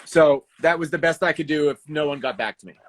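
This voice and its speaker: A man hosting a live raffle in casual livestream chat, haltingly thinking aloud as he handles each task. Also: a little high. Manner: halting, thinking aloud while doing tasks.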